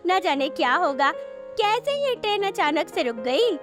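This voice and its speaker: A woman voicing a polite, fretful mother of a groom in an animated moral story. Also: very high-pitched, unhurried, music in the background, exaggerated voice. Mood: anxious. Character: polite, fretful older woman travelling to son's wedding.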